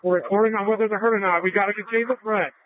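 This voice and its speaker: A man's voice: sits high, fairly even intonation, very fast, no echo, narrow, tinny audio. A male police officer making urgent radio reports, heard through a police scanner. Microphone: two-way police radio.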